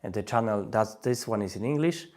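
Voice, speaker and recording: Polish guy in his 30s hosting a language live stream, lowish voice, keeps repeating stuff to get comments. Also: lively intonation, normal speed, darkish tone. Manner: repeats phrases, coaxing viewers to comment. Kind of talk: live-stream webinar talk.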